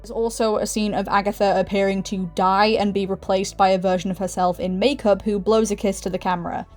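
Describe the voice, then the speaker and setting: A woman's voice: mid-range voice, little pitch movement, fairly quick, a little mellow, soft background music. A woman narrating a scripted video essay as its host, with casual, self-deprecating asides in an informal, chatty way.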